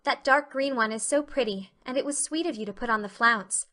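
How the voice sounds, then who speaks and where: Talkative earnest teen girl, adult actress, bright and forceful, dramatic and full of feeling, for storybook drama. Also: fairly high pitch, fairly quick. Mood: earnest, chatty. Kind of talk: dramatic reading of a classic novel.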